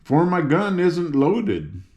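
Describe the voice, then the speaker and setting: A man's voice: on the high side, very animated, slow, a little mellow, voice pushed up. A man voicing a hapless, mild-mannered soldier who talks in an earnest, apologetic way.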